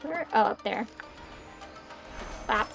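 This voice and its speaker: High-voiced woman streaming a game. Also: lively intonation, slow, creaky. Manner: chatty, playfully grumbling, muttering to herself. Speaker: streamer playing a video game. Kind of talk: casual live gameplay commentary. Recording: game music behind the voice. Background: video game music.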